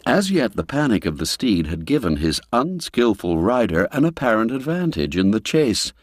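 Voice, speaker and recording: A man narrating a scripted story in a measured, theatrical, suspenseful manner. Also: fairly low pitch, big pitch swings, no echo, studio quality. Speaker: audiobook narrator.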